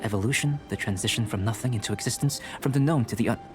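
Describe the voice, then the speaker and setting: A man playing a calm, detached young scholar and alchemist in a video game, speaking in a measured, formal, analytical way. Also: lowish voice, music in the background, natural voice.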